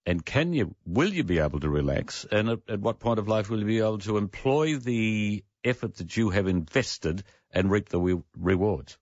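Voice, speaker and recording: This is a man hosting talk radio with a low-pitched voice. He questions in a deliberate, probing, conversational way, circling back on his phrases to press the point. The sound is very dry and a little muffled on top. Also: expressive, dry recording. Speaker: radio talk show host. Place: radio studio. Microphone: close studio microphone. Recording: slightly dull radio studio audio.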